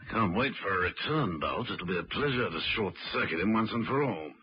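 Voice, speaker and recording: A man playing a wry, unflappable gentleman secret agent in a radio drama, dry, urbane and understated. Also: lowish voice, lively intonation, a little fast, a little hiss or hum, close to an everyday voice. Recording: dull old radio recording.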